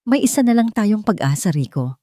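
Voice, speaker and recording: A woman voicing a hopeless, ashamed mother in an audio drama, hushed, trembling and pleading. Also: mid-range voice, animated, fairly quick, no room sound, clean studio sound, voice pushed up, close to an everyday voice.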